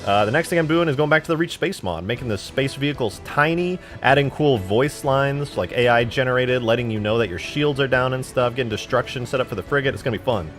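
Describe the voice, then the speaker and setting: A man's voice: medium pitch, fast, hardly any pauses, fairly bright tone, faint music behind. Rambling twenty-something man streaming. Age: 20s. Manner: rambling, joking, swears freely. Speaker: streamer and game modder. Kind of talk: casual live-stream chat.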